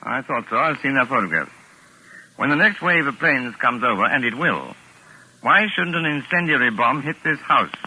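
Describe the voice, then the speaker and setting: An actor playing a cool, shrewd mystery writer, measured and dryly urbane, in 1940s radio style. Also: male voice, mid-range voice, animated, bright, forceful tone, husky, heavily acted, poor audio. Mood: cool, persuasive. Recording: old 1940s radio recording.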